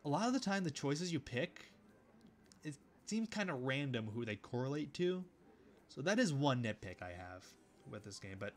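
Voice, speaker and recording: A man reading a visual novel aloud, with relaxed commentary and casual asides. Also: mid-range voice. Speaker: video game let's player.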